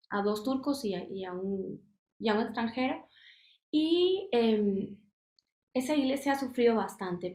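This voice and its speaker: A young woman from Guatemala gives an earnest testimony, pausing often on fillers and restarting her sentences. Her voice sits a little high for a woman. The sound is roomy and echoey. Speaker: missionary speaker. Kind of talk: personal testimony at a missions workshop.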